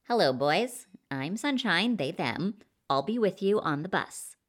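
A woman reads as a tall, flamboyant figure in a storybook, confident and flirtatious, greeting strangers with ease. The low, mellow voice lifts a little for the part. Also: lively intonation, a little slow. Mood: flirty. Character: tall, flamboyant, confident person in leather and a dress.

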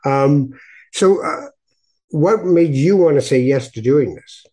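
A man's voice: on the high side, animated, takes it slowly, warm tone, breathy edge. A man hosting a conversational podcast, talking reflectively and often repeating words as he goes. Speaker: podcast host. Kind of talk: conversational interview with reflective introduction.

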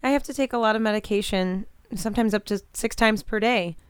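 A woman plays a sheltered, gentle teenage girl in an audio drama. Her voice is smooth and clean at a medium pitch, sincere and polite, in a very dry recording. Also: fairly quick, dry recording, lightly acted. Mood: shy, earnest. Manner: soft-hearted, sincere, polite.

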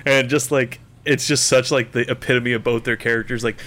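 A man's voice: mid-range voice, steady tone, a little fast, fairly bright tone. A man chatting casually as a podcast co-host, rambling with lots of filler words. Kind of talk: casual chat over a call.

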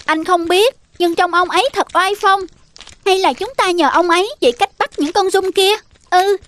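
An actor voicing a young, excitable and boastful big brother mynah bird in a children's cartoon. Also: very high-pitched, normal speed. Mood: excited. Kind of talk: children's animated fairy tale dialogue. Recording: music behind the voice.

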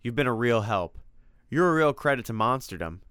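A man's voice: mid-range voice, animated, brisk, fairly bright tone, clean tone, dry recording, studio quality, natural voice. A man voicing a plucky young male adventurer who talks in a casual, slangy, eager way. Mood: friendly.

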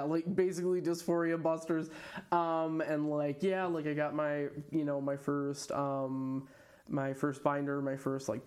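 An afab podcast guest with a fairly low voice, talking casually in a hesitant, rambling way full of fillers and restarts, often laughing mid-sentence. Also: female voice, darkish tone. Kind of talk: casual conversation.

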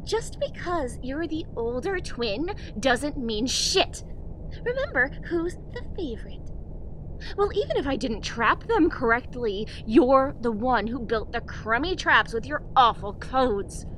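A woman's voice: high-pitched, very animated, a little slow, fairly bright tone, slight background noise, exaggerated voice, poor audio. A woman playing a scheming young student in an audio drama, sugary and coaxing one moment and snide the next. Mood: spiteful. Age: young adult. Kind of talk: acted audio drama dialogue.

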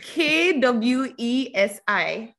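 Playful, animated woman co-host. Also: a little high, extremely slow, a little hiss or hum. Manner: playful, laughs often. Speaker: podcast co-host. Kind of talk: casual podcast banter.

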